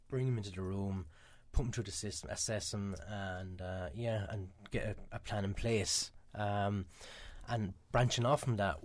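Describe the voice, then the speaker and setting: A man with an Irish accent, talking quickly and chattily as a radio guest, with many filler sounds. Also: lowish voice. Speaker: guest from a mental health charity. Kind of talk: radio interview answers.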